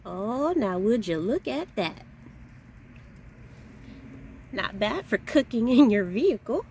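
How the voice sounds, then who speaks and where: Woman, 40s, van-life vlogger, slow and chatty, recorded in her vehicle with light noise. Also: on the high side, big pitch swings, creaky. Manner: chatty, unhurried, thinking aloud with fillers. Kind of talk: casual vlog narration. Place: inside a parked van.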